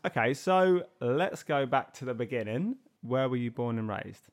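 A man's voice: mid-range voice, expressive, normal speed. Friendly male podcast host. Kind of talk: scripted intro, then casual interview questions.

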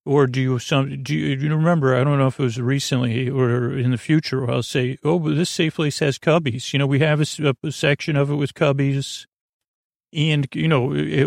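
Creaky-voiced man rambling a bedtime monologue. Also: mid-range voice, no echo, studio quality. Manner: meandering, halting, self-interrupting, goofy. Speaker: podcast host. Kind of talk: rambling bedtime monologue to help listeners sleep.

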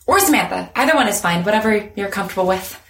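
A skittish young woman in her twenties, played by an actress, with a medium-pitched voice. She rambles, corrects herself and over-explains nervously, in a naturalistic audio drama style. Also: expressive, quick pace, fairly bright tone, slight background noise. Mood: nervous, flustered. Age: 20s.

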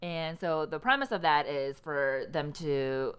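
A woman's voice: low voice, unhurried, smooth. A woman co-hosting a podcast in casual chat. She talks loosely, laughs often and swears casually. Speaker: podcast co-host.

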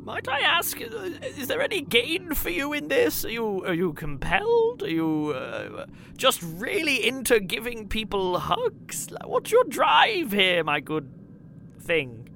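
A woman's voice: fairly high pitch, wildly swooping pitch, unhurried, creaky, fairly bright tone, a little hiss or hum. A woman playing a tabletop roleplaying game, improvising in-character questions and banter.

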